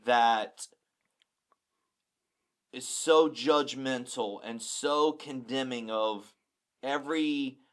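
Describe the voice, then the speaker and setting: A man's voice: mid-range voice, very slow, long pauses, a bit bright, clean tone. Blunt, candid male pastor. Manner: candid, blunt, self-deprecating; repeats words when starting a thought. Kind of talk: casual podcast chat.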